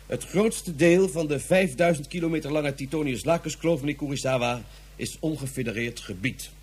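Stiff bossy space inspector, husky, very official, radio play. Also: male voice, fairly high pitch, normal speed. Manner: bureaucratic, pompous. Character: officious male federation police inspector. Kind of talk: radio drama acting.

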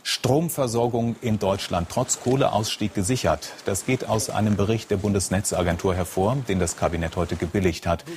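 A man's voice: lowish voice, moderate pace, few pauses, darkish tone, slight background noise. A man with a standard German accent reading a formal, scripted news bulletin as an anchor.

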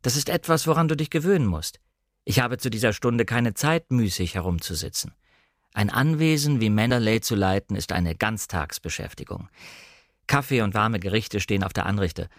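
A man voicing a brisk, decisive English gentleman, master of an estate, in matter-of-fact audiobook dialogue. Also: fairly low pitch, no echo, clean studio sound, natural voice. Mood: brisk, dismissive.

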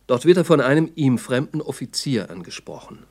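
A man's voice: medium pitch, sing-song, brisk. A man giving scripted documentary narration in a radio play, sober and matter-of-fact.

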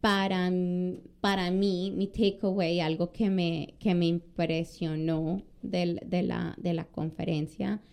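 A middle-aged woman co-hosting a podcast in an easy, conversational way. Her voice sits fairly low, mellow and smooth, and she often restarts her phrases as she thinks aloud. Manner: hesitant, many restarts and fillers. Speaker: immigration lawyer and podcast co-host. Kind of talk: casual conversational chat.

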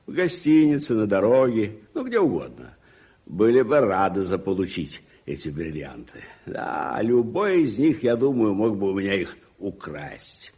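Wealthy older uncle, swooping low voice. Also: male voice. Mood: self-assured. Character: wealthy older uncle, jovial, opinionated, self-assured gentleman. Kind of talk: radio play acting. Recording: dull muffled 1981 radio recording.

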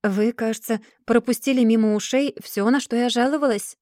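A woman's voice: on the high side, a little fast, a little mellow, no room sound, clean studio sound, lightly acted. A woman voicing a haughty society lady who scolds her husband in a cool, superior way, in a storybook reading. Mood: scolding. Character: haughty cold society lady, a rich man's wife.

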